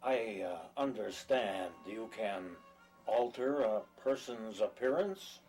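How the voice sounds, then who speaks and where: A man's voice: on the low side, animated, a little mellow, soft background music. Man playing a timid, stammering farmer in trouble. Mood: nervous, pleading. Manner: halting, stammering. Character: timid country farmer on the run, humbly pleading. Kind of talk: dramatic dialogue in an audio play or table reading.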